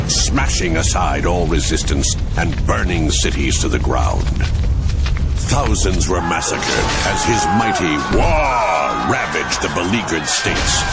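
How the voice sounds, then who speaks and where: A man acting as a grave, portentous storyteller, narrating a fantasy game's war chronicle. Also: deep voice. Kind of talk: epic scripted fantasy game narration.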